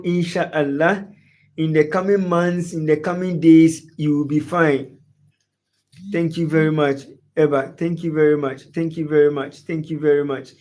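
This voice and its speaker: A man's voice: fairly high pitch, darkish tone. A man from Ghana with a Ghanaian English accent hosts a live football chat. He greets viewers by name and asks the same questions again and again. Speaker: sports livestream host. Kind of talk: live sports chat reading viewer comments.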